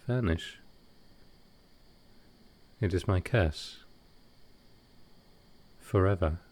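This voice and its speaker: Guy doing a gentle old-soul vampire man for a comfort roleplay, kinda low, really slow, halting and soft. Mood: sorrowful. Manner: soft-spoken, hesitant, halting with pauses. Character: gentle, wistful, ancient male vampire comforting a guest. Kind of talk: intimate audio roleplay acting.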